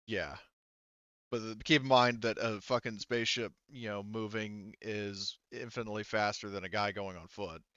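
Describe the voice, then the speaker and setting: A man improvising narration as a tabletop game master, casual and halting as he thinks aloud. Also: fairly low pitch, fairly even intonation, pushed and bright, clear, resonant tone, no echo, dull audio.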